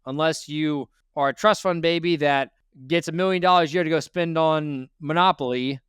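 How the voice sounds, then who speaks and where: A man's voice: fairly high pitch, moderate pace, a bit bright, smooth, dry recording, studio quality. A man hosting a personal finance interview, talking bluntly and lecturing his guest about money. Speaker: host.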